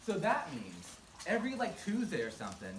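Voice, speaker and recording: A woman's voice: on the low side, huge pitch swings, a little fast. A woman telling a story live to a group in a casual, playful, self-deprecating way, prompting her listeners to join in. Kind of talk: interactive live storytelling for a group with audience participation. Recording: echoey, far from mic, noisy crowd. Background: crowd of listeners calling out.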